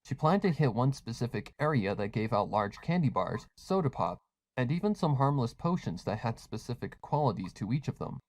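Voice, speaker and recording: Man narrating a dark story. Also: medium pitch, very animated, fairly quick, no echo. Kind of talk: scripted horror fan fiction narration.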